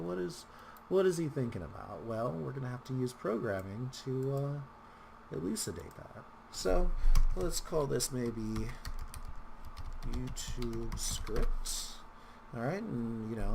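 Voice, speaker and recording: A man hosting a casual software tutorial, talking in a rambling, informal way with wry asides. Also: medium pitch, creaky, slight background noise. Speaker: tutorial host.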